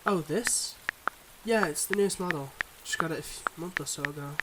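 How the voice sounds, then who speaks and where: A man playing a suave, secretive mob boss boyfriend in an intimate ASMR roleplay, talking smoothly and teasingly to the listener. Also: on the high side, fairly quick, heavy background noise, natural voice. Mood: charming, relaxed.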